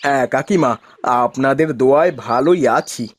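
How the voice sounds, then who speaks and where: A man's voice: mid-range voice, normal speed, close to an everyday voice. A man voicing a cheerful, polite farmer husband from a village, in cartoon dialogue. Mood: respectful.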